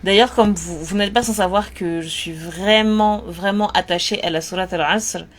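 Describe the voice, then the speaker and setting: A woman talking earnestly and directly to her listeners, repeating words and pausing on fillers as she gives spiritual advice. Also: medium pitch, slight background noise. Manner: earnest, repetitive, lots of fillers. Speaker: spiritual advice content creator. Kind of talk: casual talk.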